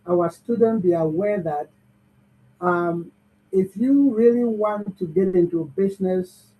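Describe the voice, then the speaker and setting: A man hosting an online bootcamp, upbeat and cheering the audience on over a video call. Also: high-pitched. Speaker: bootcamp host. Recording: muffled video-call audio.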